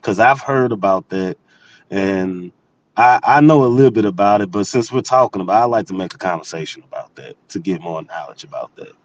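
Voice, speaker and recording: A man's voice: low-pitched, lively intonation, fairly quick, dull audio. Casual, slangy man in group chat. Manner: slangy, profane, loosely rambling. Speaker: panel participant.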